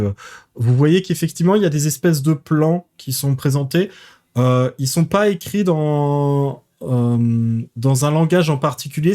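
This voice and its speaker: A man narrating a tabletop role-playing game as game master, pausing often with fillers. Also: a little high, warm tone. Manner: hesitant, many fillers.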